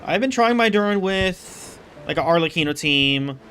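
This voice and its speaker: A man's voice: on the high side, lively intonation, moderate pace, sharp tone, slight background noise. A man giving casual, rambling game commentary, thinking aloud as he streams.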